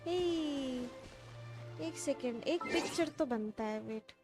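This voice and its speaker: A woman streaming a game, with a high voice and casual, chatty reactions. Game music plays clearly under her words. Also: lively intonation, rapid-fire.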